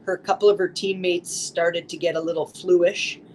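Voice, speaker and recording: A woman in her forties with a lowish voice talks steadily, filling pauses with ums and uhs. Faint background noise sits behind her. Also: rough recording. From Saskatchewan, Canada. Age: 40s. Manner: steady, with frequent fillers. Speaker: office administrator interviewee. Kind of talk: interview recollection.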